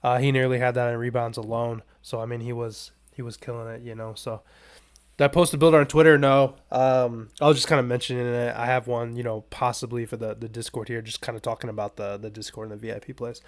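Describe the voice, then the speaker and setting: A man hosting a sports betting podcast in a loose, rambling, casual way, his voice a little raspy and strained as he is under the weather. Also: medium pitch, level tone, quick pace. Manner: rambling, loose, filler-heavy asides. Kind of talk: casual unscripted betting picks talk.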